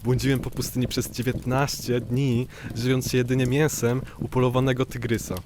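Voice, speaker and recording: A man's voice: mid-range voice, level tone, moderate pace, natural voice. A man playing a boastful, mock-courtly talking tomcat in an amateur radio drama, pompous and theatrical. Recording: clearly noisy home recording.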